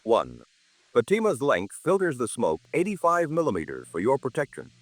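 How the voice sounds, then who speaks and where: A man's voice: medium pitch, huge pitch swings, normal speed, no room sound. A man announcing a 1950s radio commercial with polished, emphatic salesmanship. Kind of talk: 1950s radio advertising read.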